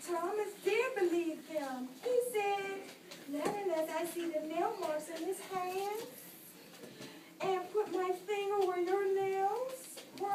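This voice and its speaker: A perky, preachy female puppet voiced by a woman in a children's show. The voice is extremely high and slow, with exaggerated earnestness. It sounds far from the microphone in an echoey, noisy room. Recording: distant, echoey room with clear noise.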